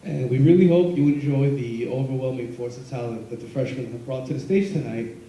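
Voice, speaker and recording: A man's voice: mid-range voice, brisk, warm tone, muffled recording. A man introducing a live stage show, hyping the crowd in a casual way. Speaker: stage presenter. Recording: very echoey, distant, noisy hall.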